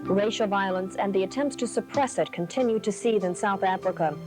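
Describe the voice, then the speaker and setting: A woman's voice: mid-range voice, fairly quick. Woman anchoring TV news, music behind. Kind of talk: scripted TV news reading with brief co-anchor banter. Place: TV news studio.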